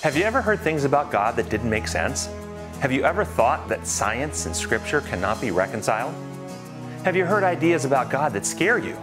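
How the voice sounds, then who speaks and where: A man's voice: medium pitch, sing-song, normal speed, over music. A man who is a psychiatrist reading a scripted ministry promo in an earnest way, opening with rhetorical questions.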